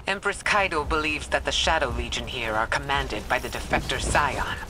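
A man's voice: medium pitch, normal speed, natural voice, low-quality recording. A man playing a grave, knowledgeable strategist in a video game, explaining things in a serious, formal way. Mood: grave, warning. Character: grave, knowledgeable male strategist warning of danger. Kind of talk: video game character dialogue. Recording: loud game music behind the voice.

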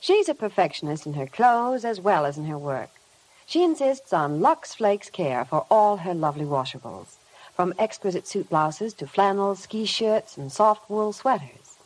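A woman's voice: mid-range voice, big pitch swings, normal speed, low-quality recording. A woman delivering a scripted 1950s radio advertisement on an old broadcast. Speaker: commercial spokeswoman.

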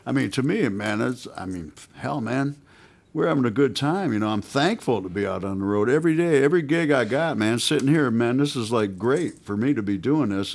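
Older rock guitarist guest, gravelly low voice, relaxed chatty delivery. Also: male voice. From United States. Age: 60s. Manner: laid-back, says "man" and "you know" constantly. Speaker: guest musician. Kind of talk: casual radio interview chat. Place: small radio studio, indoors. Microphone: stand mic at guitar.

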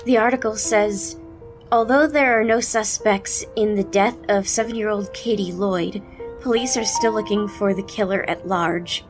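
A woman's voice: mid-range voice, fairly even intonation, moderate pace, close to an everyday voice. A young woman playing a concerned, frank confidante in an audio drama, with a firm, reasoning delivery. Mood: serious. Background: music.

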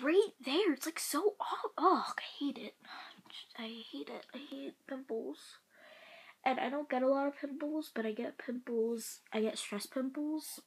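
Husky young woman rambling to her phone. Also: a little high. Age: young adult. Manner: rambling, self-mocking, drifts into soft whispers. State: congested. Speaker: vlogger. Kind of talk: casual vlog chat. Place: bedroom, indoors. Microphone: phone camera at arm's length.

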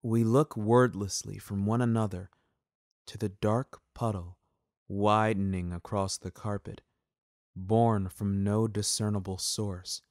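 A man reading scripted first-person fiction in a deliberate, ornate and measured storytelling manner. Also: on the low side, fairly even intonation, a little mellow, no echo, clean studio sound. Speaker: narrator of a serial audio story. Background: music.

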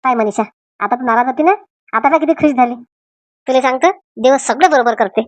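Woman as scheming happy village lady, cartoon, high voice, dry recording. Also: a little fast, dry recording, lightly acted. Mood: teasing. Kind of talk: homemade comedy cartoon dialogue.

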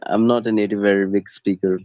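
A man's voice: lowish voice, little pitch movement, a little fast, no room sound. A man calling in to a religious debate, arguing his point with the host. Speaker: caller. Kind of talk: debate call. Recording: dull lo-fi call audio.

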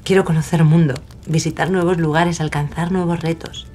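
A woman's voice: low-pitched, fairly even intonation, moderate pace, warm tone, natural voice. Woman acting spirited woman aviator over music. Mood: earnest, defiant. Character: determined, independent woman aviator of the 1930s. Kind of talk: scripted audio drama acting and narration. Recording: music behind the voice.

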